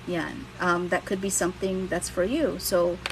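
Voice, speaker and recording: A woman's voice: on the low side, little pitch movement, normal speed, noisy background. A woman in her thirties from the Philippines with a Filipino accent, hosting a casual livestream and giving blunt, candid career advice with the odd casual swear. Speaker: livestream host and career coach.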